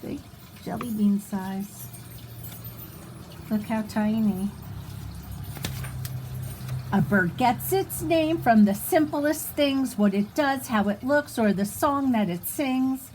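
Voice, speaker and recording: A woman reading a rhyming picture book aloud to young children in an expressive, sing-song way, pausing for little asides. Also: fairly high pitch, slight background noise, rough recording. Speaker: children's storybook reader.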